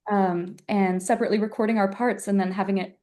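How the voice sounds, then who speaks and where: Mellow-voiced young woman violinist guest. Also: mid-range voice, fairly even intonation. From Germany. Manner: thoughtful, measured, uses "kind of" often. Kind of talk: podcast interview.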